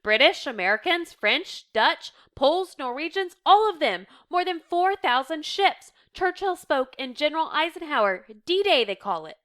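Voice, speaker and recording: Woman acting an excitable high-pitched young woman, exclaiming. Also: moderate pace. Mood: excited, informative. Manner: breathless, exclaiming, urgent. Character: excitable young woman bursting with good news.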